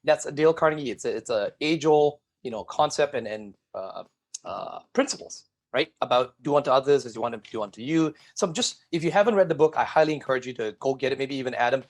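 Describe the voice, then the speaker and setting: A middle-aged man from Singapore, a guest telling his life story in an energetic interview and addressing the viewers directly. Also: medium pitch, fast talker.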